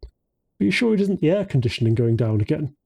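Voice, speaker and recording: A man's voice: a little high, big pitch swings, fairly quick, creaky, darkish tone, slightly rough, full character voice. A man voicing a friendly, confident male friend in an audiobook, charming and nonchalant. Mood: concerned disapproval.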